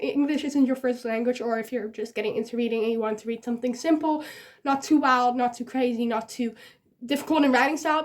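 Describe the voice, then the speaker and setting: A young woman from the Netherlands with a Dutch accent, a native Dutch speaker chatting casually and giggly in a rambling, self-deprecating way as a book vlogger. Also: sits high. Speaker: book vlogger giving recommendations. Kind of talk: casual chatty vlog to camera.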